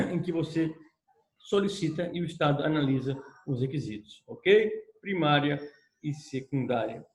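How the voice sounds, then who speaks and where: A man with a Brazilian Portuguese accent giving a recorded law lecture, explaining step by step and checking in with his students. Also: a little high. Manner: explanatory, repeats points with "tá?" check-ins. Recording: echoey room.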